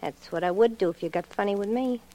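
A woman's voice: medium pitch, fast, warm tone, natural voice, rough recording. An actress playing a streetwise, needy gangster's girlfriend who talks in slang, in a 1940s radio crime drama. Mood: taunting.

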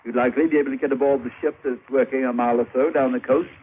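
An actor playing a prim, self-righteous missionary in a 1950s radio drama, speaking in a formal, lecturing manner. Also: male voice, mid-range voice, steady tone, racing, narrow, tinny audio, lightly acted. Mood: stern, indignant. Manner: formal, proper, indignant, lecturing. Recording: thin, faintly noisy vintage radio broadcast.

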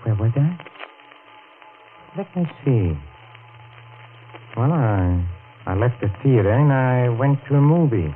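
A man's voice: mid-range voice, animated, slow, pauses often, soft background music. An actor playing a desperate man who has killed a rival, stammering and breaking into hysterical laughter, in a 1940s radio drama. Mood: rehearsing. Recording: tinny lo-fi 1940s radio broadcast with hiss.

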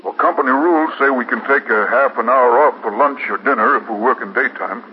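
A man's voice: mid-range voice, a little fast, close to an everyday voice. A man playing an earnest, rule-quoting American construction-site watchman in a 1950s radio drama, explaining things in a slightly defensive way. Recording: muffled, noisy 1950s radio recording.

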